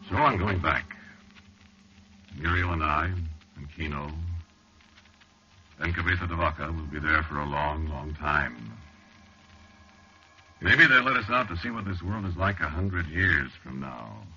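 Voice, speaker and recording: A very deep male voice as a weary, haunted man telling a horror tale. He is measured and intimate yet hard-edged, in vintage radio style, on a dull recording with faint music. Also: expressive, bright, forceful tone, slight background noise, heavily acted. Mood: resigned. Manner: measured, intimate storytelling with pauses. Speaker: narrator and star. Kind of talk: 1940s radio horror first-person narration.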